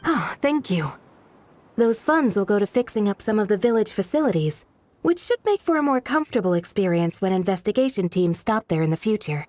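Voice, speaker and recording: A dutiful village guardian speaks in a measured, proper and earnest way, voiced by a woman. Her mid-range voice is lively and moves up and down a lot. It is a video game dub. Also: medium pitch, no echo, natural voice. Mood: composed.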